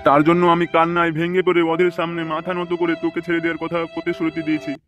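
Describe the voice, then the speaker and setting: A man plays a vengeful village politician villain in a comedy cartoon, gloating and coarse. His voice sits fairly high and moves quickly, menacing under a mock-sincere surface, with music clearly behind it. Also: monotone, a bit bright, natural voice. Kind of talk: amateur comedy cartoon dubbing.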